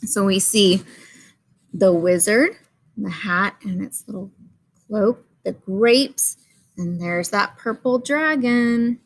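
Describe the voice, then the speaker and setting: A woman's voice: medium pitch, takes it slowly. A woman reading a picture book aloud to children, pointing things out and asking questions as she goes. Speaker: children's story reader.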